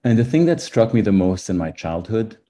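Deep, mellow man from Lebanon. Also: sing-song, a little fast. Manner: thoughtful, many fillers. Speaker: guest, nonprofit CEO. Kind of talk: interview answers.

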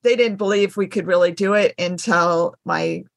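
Creaky-voiced woman interviewing casually. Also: lowish voice, moderate pace, no echo. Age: middle-aged. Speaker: podcast host, interviewer. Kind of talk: casual chat.

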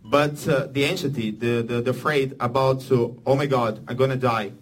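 A man from Brazil with a Brazilian Portuguese accent, a surgeon speaking halting non-native English to an audience. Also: medium pitch. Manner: halting non-native English, animated when acting out patients. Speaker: orthopedic surgeon speaking as guest. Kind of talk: unscripted talk to an audience.

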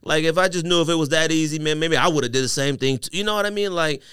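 A man's voice: fairly high pitch, fast, smooth, no echo, clean studio sound. A man hosting a podcast in a casual, slangy way, telling stories and acting out bits of dialogue. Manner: slangy, animated storytelling, acts out dialogue. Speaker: podcast host.

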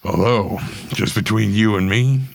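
A man voicing a friendly, rough-spoken killer who chats in a slangy, laid-back way. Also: fairly low pitch, unhurried, no room sound, clean studio sound. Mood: easygoing. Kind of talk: storytelling.

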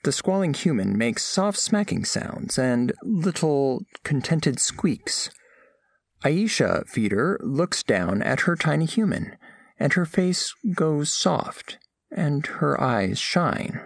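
A man's voice: a little high, very animated, takes it slowly, creaky, no echo, exaggerated voice. A man playing a studious alien scholar who narrates a diplomatic report with formal, precise diction in an audio drama. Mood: observant, curious. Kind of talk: scripted first-person narration, audio fiction.

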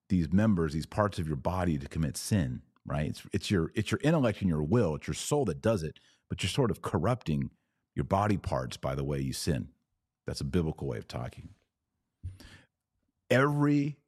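A man's voice: low-pitched, a little mellow, breathy edge, dry recording, clean studio sound. An American man hosting a religious podcast, talking informally to online viewers in an emphatic way with repeated phrases and rhetorical questions.

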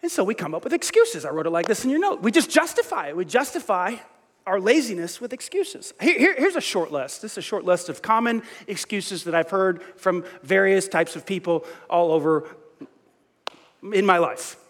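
A middle-aged man preaching a sermon as pastor, lively and self-mocking, often restarting his sentences. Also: high voice, moderate pace, long reverb tail.

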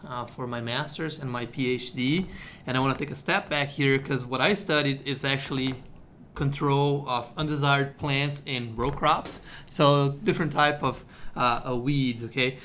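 A man's voice: mid-range voice, fairly even intonation, unhurried, pushed and bright, a little hiss or hum. A man from Brazil, a university agronomy researcher explaining his work in a radio interview, with many fillers and "okay?" checks.

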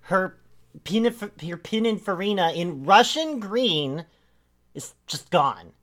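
A woman's voice: medium pitch, lively intonation, takes it slowly. A woman hosting a casual chat, teasing and asking the others questions with giggles. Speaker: host leading the segment.

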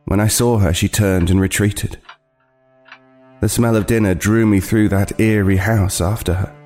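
An actor playing an uneasy homeless man who narrates his horror tale in a halting, brooding way. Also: sits low, warm tone. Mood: haunted, halting. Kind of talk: dramatic first-person horror narration. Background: music.

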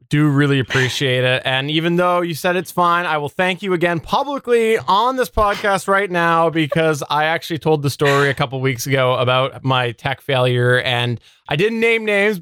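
A man's voice: on the high side, a bit bright, clean tone, dry recording, clean studio sound. A man co-hosting a podcast, talking through news in a conversational style. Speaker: podcast co-host.

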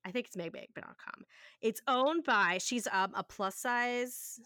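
A woman's voice: on the high side, a little slow, bright, forceful tone, smooth, dry recording, studio quality. A woman hosting a podcast in casual conversation, talking in a candid, emphatic, chatty way with free swearing. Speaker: podcast host.

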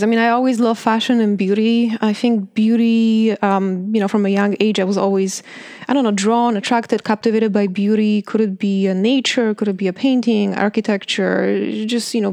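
A woman's voice: medium pitch, gravelly, a little mellow, dry recording, studio quality. A woman in her late thirties from Poland with a heavy Polish accent, chatting as an interview guest with many fillers and restarts. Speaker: beauty industry guest. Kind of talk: interview answers.

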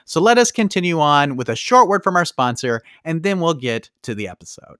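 A man's voice: a little high, big pitch swings, brisk, over music. A man co-hosting and producing a live podcast, chatting casually and reading out viewer messages in an upbeat, announcer-like way.

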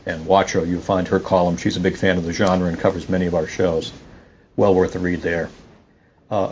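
A man's voice: low voice, darkish tone. A man giving casual, opinionated review commentary as a podcast listener sending in feedback. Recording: dull lo-fi audio with faint noise.

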